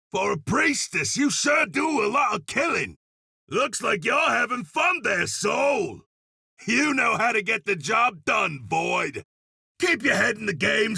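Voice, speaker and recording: An actor playing a gruff, hard-bitten demon hunter and vengeful soldier, terse and plain-spoken, with gravelly video game delivery. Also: male voice, sits high, bright, forceful tone, no echo, clean studio sound, full character voice. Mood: gruff, forceful. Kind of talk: video game voice lines, scripted.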